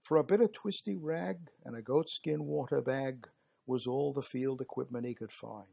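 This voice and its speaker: A man reciting dramatic verse as a gruff working-class British soldier of colonial India, theatrical and emphatic, with slangy, clipped word endings. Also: mid-range voice, moderate pace, mellow, muffled recording. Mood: reminiscing. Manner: theatrical, emphatic, dropped g's and slangy phrasing.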